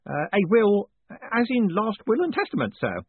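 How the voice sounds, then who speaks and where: High-pitched old postman as a narrator puts him on, hesitant, folksy, tinny phone-like sound. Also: huge pitch swings, moderate pace, slightly rough, no echo, exaggerated voice. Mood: confiding. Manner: folksy, hesitant, says sir constantly. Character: old male postal worker, deferential and gossipy.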